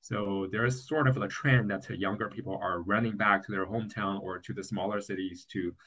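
A man from China with a Chinese accent in a casual podcast discussion, speaking haltingly and repeating words as he searches for phrasing. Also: lowish voice, fairly even intonation, fairly bright tone, highs cut off. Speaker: podcast co-host.

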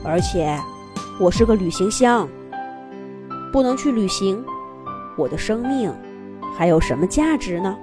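A woman's voice: medium pitch, expressive, a little slow, pauses often, music in the background, close to an everyday voice. Woman voicing a weak, weary male suitcase. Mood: weak, stubborn. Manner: weak, strained but determined. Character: weary, frail male suitcase, stubbornly dutiful.

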